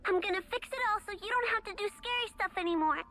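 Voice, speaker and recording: A woman's voice: extremely high pitch, quick pace, pushed and bright, full character voice. A woman voicing an earnest, selfless little girl in a video game, childlike, sincere and pleading. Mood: brave, apologetic. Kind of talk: video game cutscene acting. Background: faint background music.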